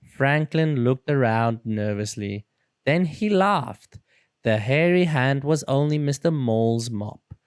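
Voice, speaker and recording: A storyteller reading a children's book aloud in an expressive, dramatic and deliberate way. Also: mid-range voice, clean tone, dry recording, studio quality. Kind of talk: expressive read-aloud narration.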